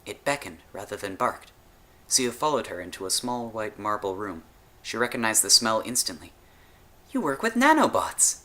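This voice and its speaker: A man's voice: fairly low pitch, moderate pace, sharp tone. A man reading scripted narration of a short story as its storyteller. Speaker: storyteller, author.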